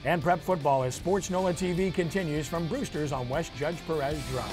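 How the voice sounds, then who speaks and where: A man's voice: a little high, brisk, music in the background. A man hosting a sports talk show, delivering commentary and discussion like an anchor. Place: on location at a restaurant.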